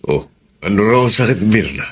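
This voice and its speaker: An actor playing a kindly, concerned radio station boss in a Filipino radio drama. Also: male voice, on the low side, expressive, fairly quick, slightly rough.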